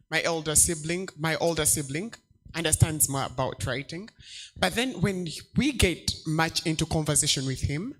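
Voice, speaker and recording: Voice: medium pitch, fairly bright tone, rough recording. A young adult audience member asking a panelist a long question, hesitant and searching for words.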